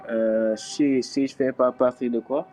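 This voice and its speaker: A man's voice: mid-range voice, steady tone, unhurried, darkish tone. Hesitant man debating over faint noise. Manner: hesitant, stammers on words. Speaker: caller in a live debate. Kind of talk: heated live debate.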